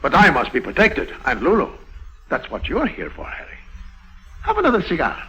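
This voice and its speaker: An actor playing an anxious, self-serving banker scheming in a 1950s radio drama. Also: male voice, fairly high pitch, huge pitch swings, a little fast, music in the background. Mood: anxious, insistent. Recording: muffled old radio broadcast.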